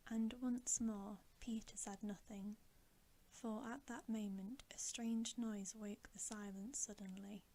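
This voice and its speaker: A woman reading a bedtime story as ASMR in a soft, breathy whisper, gentle and soothing. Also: mid-range voice, steady tone, slow.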